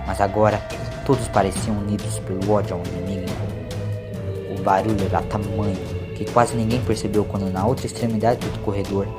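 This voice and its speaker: A man's voice: lowish voice, steady tone, brisk, loud music behind the voice. A man narrating a scripted audio story in a dramatic storytelling style.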